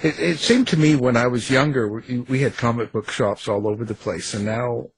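Very husky male radio host. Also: medium pitch, no echo, rough recording. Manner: halting, rambling questions. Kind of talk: casual interview chat.

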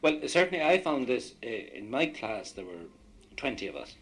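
A man's voice: mid-range voice, sing-song, moderate pace, fairly bright tone, slight background noise. An Irish man whose first language is Irish, telling rambling stories from his own experience in a radio discussion. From Ireland. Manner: anecdotal, rambling recollection. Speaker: guest.

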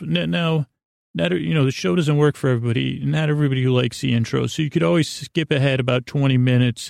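A man hosting a bedtime podcast in a halting, digressive monologue, goofy and self-deprecating, with a creaky voice. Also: mid-range voice, darkish tone, dry recording, studio quality. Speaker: podcast host.

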